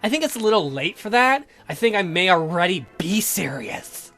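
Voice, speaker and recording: A woman's voice: fairly low pitch, very animated, normal speed, gravelly, a bit bright, faint music behind, voice pushed down, exaggerated voice. A woman voicing a reserved, lovestruck young woman in a visual novel, dry and hesitant. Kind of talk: visual novel read aloud in character.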